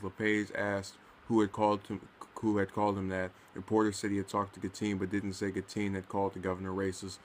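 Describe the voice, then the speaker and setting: Low, flat-voiced man with background noise. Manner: stumbles over words when reading. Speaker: commentator. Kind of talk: reading a news article aloud, with casual commentary.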